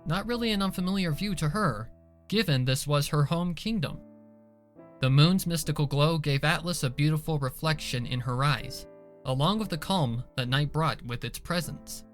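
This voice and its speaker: A man's voice: a little high, normal speed. A man reading scripted dramatic narration for a fan fiction story. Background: soft music behind the voice.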